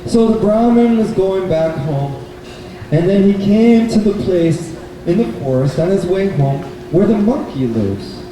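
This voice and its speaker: A man's voice: high voice, expressive, unhurried, mellow. A man telling a puppet-show story to children in simple, repeated phrases, often putting questions to his listeners. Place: bookstore event space. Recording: very echoey hall, distant mic, noisy.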